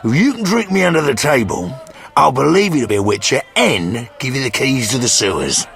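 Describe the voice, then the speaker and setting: A crude mercenary sergeant from a fantasy game. His mid-pitched voice swings widely as he blusters and boasts with theatrical sentiment. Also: very animated, fairly quick, gravelly, a bit bright, faint music behind. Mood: gruff boasting. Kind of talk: fantasy video game dialogue.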